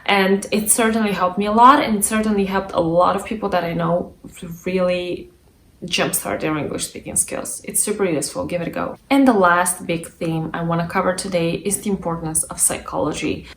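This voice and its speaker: A woman's voice: fairly low pitch, steady tone, brisk. A young adult woman, a native Bulgarian speaker, giving an articulate instructional talk to camera as a language coach. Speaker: language coach and video presenter. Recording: echoey room with faint background noise.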